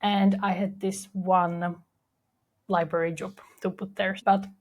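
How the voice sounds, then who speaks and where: A young woman with a Czech accent talks through her own story as a podcast host. Her pitch sits mid-range and stays level, with little rise or fall. She sounds candid and reflective, leaning on fillers as she goes. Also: level tone, slow, darkish tone. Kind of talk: personal storytelling monologue.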